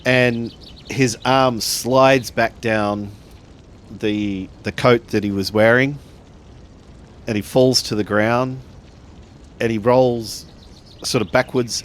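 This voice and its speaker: A man narrating a tabletop roleplaying game in a halting way, building his sentences bit by bit. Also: lowish voice, slight background noise. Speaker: tabletop RPG player.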